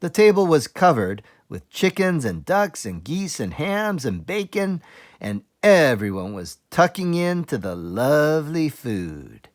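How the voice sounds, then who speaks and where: Slow, animated man reading aloud. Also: fairly high pitch. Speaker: teacher librarian reading aloud. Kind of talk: children's storybook read-aloud.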